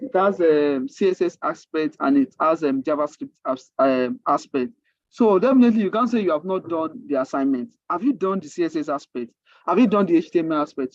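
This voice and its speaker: A man with a Nigerian accent and Yoruba as his native language, mentoring a class in an informal, halting way, repeating himself and asking rhetorical questions. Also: on the high side, no room sound, muffled recording. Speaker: coding mentor. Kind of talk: informal online teaching.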